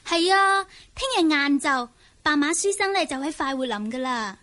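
A woman's voice: high voice, animated, normal speed, pushed and bright. A woman playing an innocent, chattering little village girl in a radio drama, childlike, eager and guileless. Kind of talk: Cantonese radio drama acting.

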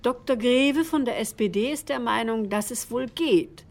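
A woman's voice: a little high, moderate pace, gravelly, darkish tone. A woman playing a sober, restrained co-narrator in a radio documentary drama, matter-of-fact and keeping order. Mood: restrained, factual.

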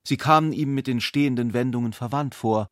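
A man's voice: mid-range voice, fairly quick, no room sound, studio quality. A man reading scripted literary narration for a radio play.

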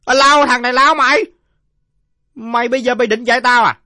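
A man's voice: very high voice, steady tone, a little fast, pushed and bright, no echo, studio quality, voice pushed up, exaggerated voice. A man voicing a belligerent, drunken village father in a story, blustering and coarse-mouthed. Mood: indignant.

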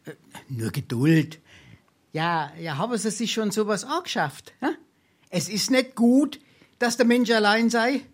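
A man's voice: sits high, lively intonation, unhurried. A male actor with a Darmstadt Hessian accent playing a wily, boastful penniless scrounger in a dialect stage comedy, theatrical and full of dramatic hesitation. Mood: sly, confiding. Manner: theatrical, braggartly, hesitating for effect.

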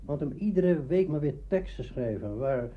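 A man's voice: fairly high pitch, animated, normal speed. An older man recalling his working past in an informal interview as a writer. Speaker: radio play writer interviewed. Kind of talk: spoken reminiscence. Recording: dull archive interview recording.

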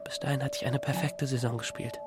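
A man's voice: medium pitch, little pitch movement, fast, very noisy. A young man giving a dramatized first-person audiobook narration in an agitated manner, slipping between muttering and outbursts. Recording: music behind the voice. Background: music.

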